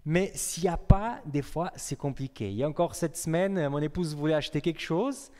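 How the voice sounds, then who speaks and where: Young male preacher, fairly high voice, chatty with the congregation, reverberant church hall. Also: moderate pace, warm tone. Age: young adult. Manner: conversational, asks the congregation questions. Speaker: pastor. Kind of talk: sermon.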